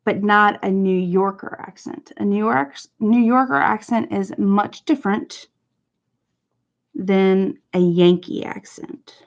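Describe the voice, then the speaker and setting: Woman, northern US accent, slow-paced rambling chat, laughs a lot, lo-fi stream sound. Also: mid-range voice. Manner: chatty, laughs often, rambling. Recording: dull lo-fi stream audio.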